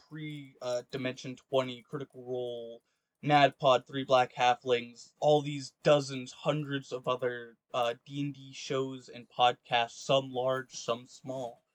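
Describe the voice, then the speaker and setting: A man in a casual, opinionated podcast discussion, speaking in a rambling, halting way and often saying "like". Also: medium pitch, steady tone, no room sound. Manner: rambling, halting delivery with frequent "like". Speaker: podcast co-host.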